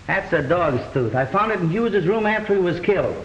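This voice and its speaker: An actor playing a brash, wisecracking, exasperated police detective in the style of an old mystery film. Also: male voice, high voice, expressive, a little fast, lo-fi sound. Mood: urgent, explaining. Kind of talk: 1930s-40s mystery film acting. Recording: noisy vintage mystery film soundtrack.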